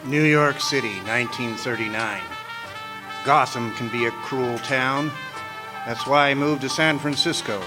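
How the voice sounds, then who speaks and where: An actor playing a wry, deadpan hardboiled private detective, narrating and trading lines in an old-time radio noir style. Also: male voice, mid-range voice, measured pace, loud music behind the voice, lightly acted. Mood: wry narration. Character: wisecracking hardboiled 1940s private detective, narrating his own case. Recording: faintly noisy live stage recording.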